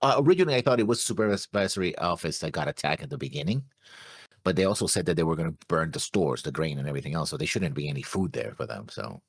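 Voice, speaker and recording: A man's voice: rumbling low, lively intonation, fast, vocal fry, husky, no room sound. A man co-hosting a reaction show, chatting casually and somewhat hesitantly, often trailing off. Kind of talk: casual discussion of an anime episode.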